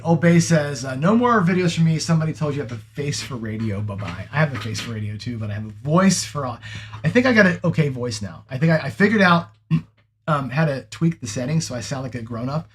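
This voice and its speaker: A middle-aged man hosting a casual live-stream chat. He rambles, restarts often and ends many points with "right?", and his room sounds a little echoey. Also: mid-range voice. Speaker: host. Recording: echoey room, mic off-axis.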